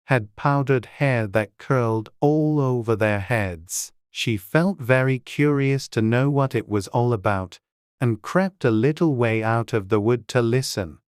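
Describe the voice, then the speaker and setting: A man narrating a storybook audiobook in a measured, scripted storytelling manner. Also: medium pitch, clean tone, no echo, clean studio sound.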